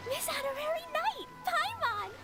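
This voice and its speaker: Tiny eager little girl game character, super high n sweet, loud music behind. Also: very animated, moderate pace. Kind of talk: video game voice acting.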